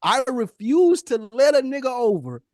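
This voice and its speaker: A man's voice: extremely high pitch, very animated, moderate pace, darkish tone, no room sound, studio quality. A man co-hosting a podcast in casual, argumentative chat, slangy and emphatic, repeating phrases to press his point. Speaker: podcast co-host.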